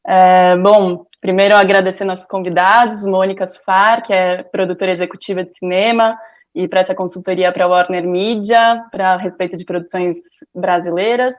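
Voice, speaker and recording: A woman moderates an online panel in a friendly, easy way, starting her sentences with small fillers. Her pitch sits in the ordinary middle.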